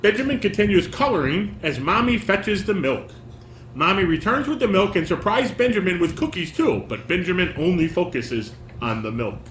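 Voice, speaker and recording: A man with a high, swooping voice directs a home table read, switching between instructions and read-aloud stage directions. The room echoes, with a light hum of fan and air conditioner. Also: animated, normal speed, a bit bright. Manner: instructing, repeats and corrects lines. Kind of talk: casual coaching mixed with reading stage directions aloud. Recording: roomy echo, fan and air conditioner noise.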